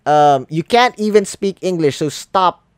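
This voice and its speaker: A man's voice: fairly high pitch, animated, measured pace, clean tone, no room sound, clean studio sound. Earnest Filipino man hosting a podcast. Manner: earnest, encouraging, talks of love and positivity. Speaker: podcast host. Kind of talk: casual podcast interview.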